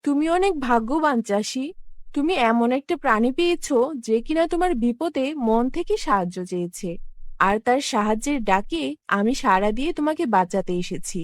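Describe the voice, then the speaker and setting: High, lilting benevolent fairy played by a woman. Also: lively intonation, normal speed, dry recording, natural voice. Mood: kindly. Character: benevolent, gently bossy magical flower fairy woman. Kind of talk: cartoon fairy-tale voice acting.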